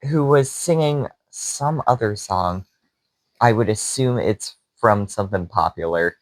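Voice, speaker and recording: Lively young man telling a story. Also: fairly low pitch, measured pace, no room sound, poor audio. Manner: animated storytelling with laughs. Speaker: podcast co-host. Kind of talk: casual chat.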